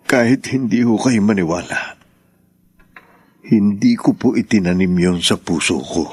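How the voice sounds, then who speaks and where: An actor playing a gentle, forgiving husband in a radio drama, speaking earnestly and respectfully. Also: male voice, on the low side, dry recording. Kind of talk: Tagalog radio drama acting.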